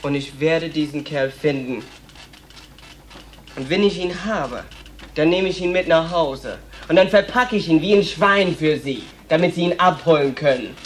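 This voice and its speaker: An actor playing a blunt, provocative, streetwise transvestite prostitute in a German radio play. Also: male voice, on the high side, measured pace, a little hiss or hum, natural voice. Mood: defiant, angry. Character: defiant, streetwise transvestite prostitute in New York.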